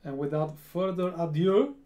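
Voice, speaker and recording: Man, Swedish accent, slightly high voice, unhurried, repeats himself, casual home webcam talk. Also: mellow. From Sweden. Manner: slow, repeats words, restarts. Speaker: reaction video host. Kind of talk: casual reaction commentary. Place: small home room full of gear.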